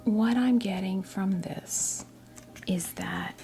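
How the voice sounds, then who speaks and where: An American woman in her fifties giving a tarot reading as ASMR role play, whispering softly in a halting, gently reassuring way. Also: mid-range voice, soft background music. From New Jersey, US. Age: 50s. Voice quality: whispered, breathy soft voice. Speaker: ASMR performer playing a tarot reader.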